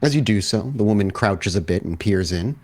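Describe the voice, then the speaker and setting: A man's voice: fairly low pitch, expressive, a little fast, a little mellow. A man narrating a tabletop roleplaying game as storyteller, describing scenes and asking players questions.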